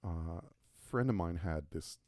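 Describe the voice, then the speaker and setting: A man's voice: deep voice, lively intonation, normal speed, darkish tone, no echo, studio quality. A man co-hosting a casual podcast chat, rambling and self-mocking as he tells stories. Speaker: podcast co-host and logo designer.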